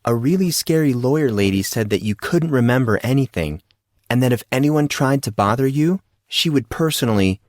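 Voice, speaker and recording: A man voicing a chatty, higher-pitched teenage schoolboy. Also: lively intonation, normal speed, a little mellow, dry recording, studio quality, lightly acted. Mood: amused. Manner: casual, talkative explaining. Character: Damien, chatty well-informed teenage schoolboy, apologetic and eager.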